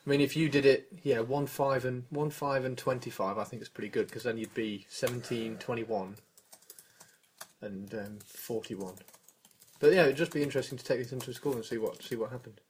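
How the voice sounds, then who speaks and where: A man's voice: mid-range voice, fairly even intonation, brisk, slight background noise. A British man in his forties hosting a podcast, chatting casually and thinking aloud. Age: 40s. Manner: thinking aloud, tripping over numbers. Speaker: podcast host. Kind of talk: casual chat.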